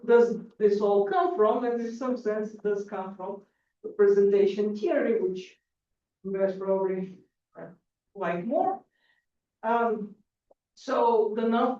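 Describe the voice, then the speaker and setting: A woman from Bulgaria giving a math conference lecture, halting, with many restarts and fillers. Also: on the high side, mellow. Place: lecture hall. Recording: echoey hall, distant lo-fi mic.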